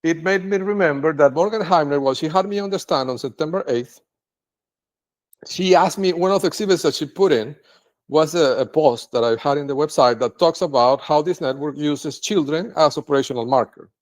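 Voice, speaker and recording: A man's voice: fairly high pitch, a little fast. A man whose first language is Spanish, speaking English in an unscripted, rambling monologue to camera, with many restarts and sentences ending in "right?". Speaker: video blogger.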